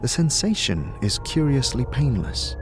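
A man's voice: medium pitch, sing-song, a little slow. A man narrating a scripted fantasy audio drama in the manner of a storyteller. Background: music.

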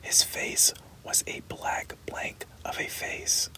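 A narrator reading a novel aloud in a slow, steady whisper. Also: poor audio. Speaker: audiobook narrator.

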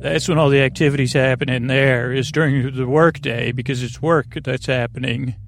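A man hosting a bedtime podcast in a creaky voice, meandering and halting through soothing, rambling tangents. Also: mid-range voice, fairly even intonation, soft background music. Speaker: podcast host.

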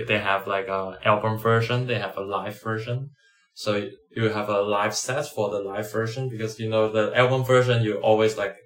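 A man explaining his sound work in an informal interview, haltingly, peppered with filler words. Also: lowish voice, pushed and bright. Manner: halting, frequent "like". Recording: echoey room.

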